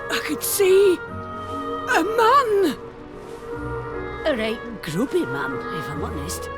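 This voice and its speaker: A woman's voice: high voice, wildly swooping pitch, takes it slowly, over music, heavily acted. A woman voicing a spirited, blunt and cheeky village medicine woman in a video game, with a Northern English accent and a theatrical, folksy delivery. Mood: mystical, searching.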